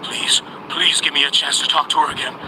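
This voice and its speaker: An actor playing a desperate, obsessive middle-aged convict in a dramatic video game, pleading breathlessly over background noise. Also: male voice, a little high, steady tone, brisk, close to an everyday voice.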